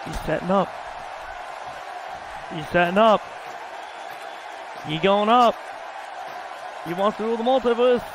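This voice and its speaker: Loud high-voiced man calling a game match. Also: a little slow, pauses often. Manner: loud, exclaiming, swears at big moves. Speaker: gamer commentating his own wrestling video game match. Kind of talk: excitable casual play-by-play commentary. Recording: loud game crowd and noise behind.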